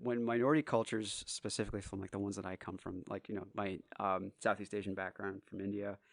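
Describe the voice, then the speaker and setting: A man in his forties with a slightly hoarse voice, chatting loosely on a podcast, rambling and swearing freely. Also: fairly low pitch, flat delivery, quick pace, no room sound, clean studio sound. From United States. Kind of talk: casual podcast chat.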